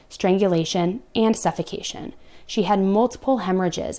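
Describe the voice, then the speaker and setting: A young woman narrates a true crime case in a casual, conversational way. She often stops to speak straight to her viewers with blunt, exasperated comments. Her voice sits at an ordinary pitch for a woman. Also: gravelly, a little mellow.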